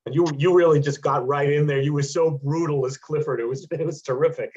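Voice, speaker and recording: A man's voice: fairly high pitch, fairly even intonation, darkish tone. The sound is a little muffled, like an online group call. A man who hosts the session chats casually in an encouraging way. He often doubles back on his words. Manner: halting, repeats and restarts phrases; encouraging, appreciative. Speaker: host of an online play reading. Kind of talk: casual chat between readings.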